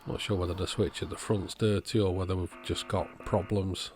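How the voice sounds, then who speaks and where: Male, lowish voice, understated hands-on repairer talking through the work casually. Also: steady tone, moderate pace, a little hiss or hum. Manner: matter-of-fact, thinking aloud, understated. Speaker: electronics repairer presenting own channel. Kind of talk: casual repair walkthrough commentary. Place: indoors at a workbench.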